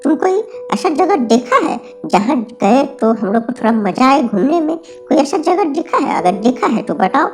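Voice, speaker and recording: A woman's voice: high-pitched, sing-song, moderate pace, hardly any pauses. A woman voicing an eager, adventurous little male dwarf in a cartoon, coaxing and pleading in colloquial Bihari-accented Hindi. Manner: colloquial, pleading, repetitive urging. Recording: faint background music.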